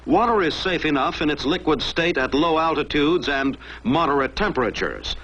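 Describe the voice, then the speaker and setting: A man's voice: on the high side, animated, moderate pace, a bit bright, slightly rough. An American man narrating a mid-century military training film from a script in a dramatic, emphatic manner. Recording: dull lo-fi old film soundtrack.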